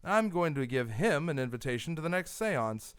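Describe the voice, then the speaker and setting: Guy doing a confident publisher hero for a radio play, smooth voice, lively delivery, dry close mic. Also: a little high, normal speed, no echo. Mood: scheming. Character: wealthy newspaper publisher, masked hero, confident. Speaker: radio actor. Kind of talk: old-time radio drama.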